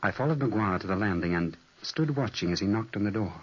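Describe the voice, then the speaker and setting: An actor playing a genial young manor owner who also narrates in an old radio play, teasing and lively. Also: male voice, lowish voice, quick pace, highs cut off, noisy background, close to an everyday voice. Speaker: brother and narrator. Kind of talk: 1940s radio acting.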